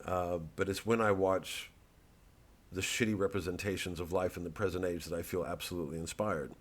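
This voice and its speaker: A man, a satirical comedian, rambling casually and thoughtfully in a halting, digressive monologue with some swearing. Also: low voice, steady tone. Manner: halting, ruminative, digressive, profane.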